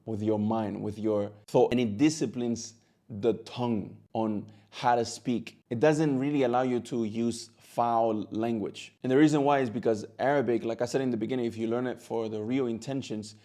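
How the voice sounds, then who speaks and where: A man's voice: medium pitch, steady tone, normal speed, dark tone. A man whose first language is Catalan, an Arabic teacher giving an earnest, direct talk to camera, now and then repeating a word as he goes.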